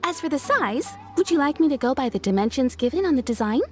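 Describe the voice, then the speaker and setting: A woman voicing a friendly, businesslike shopkeeper in a game, brisk and helpful. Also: high voice, expressive, soft background music. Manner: brisk, helpful, customer-service polite. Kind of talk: game voice acting.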